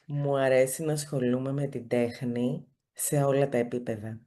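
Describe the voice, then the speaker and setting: A woman's voice: rumbling low, fairly even intonation, brisk, dark tone. A woman with a Greek accent, a native Greek speaker, hosting a casual, playful interview. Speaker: show host.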